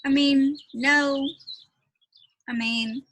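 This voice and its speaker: A woman voicing a shy, hesitant male pet shop clerk in a storybook, mumbling and halting. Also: sits high, steady tone, background noise. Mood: awkward.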